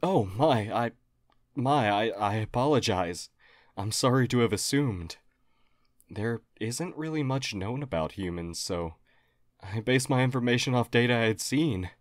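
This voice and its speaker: A man's voice: mid-range voice, animated, unhurried, dry recording, clean studio sound, natural voice. A man playing a friendly alien historian, formal, earnest and hesitantly apologetic, in an audio roleplay. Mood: apologetic, flustered. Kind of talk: audio roleplay acting, addressed to listener.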